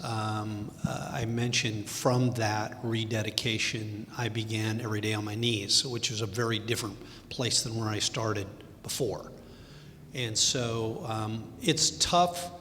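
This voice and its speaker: Halting middle-aged man in an echoey hall. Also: fairly bright tone. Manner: halting, many restarts and fillers. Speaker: bank executive guest. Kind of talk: candid personal reflection on a panel. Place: event hall. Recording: echoey hall, faint rumble.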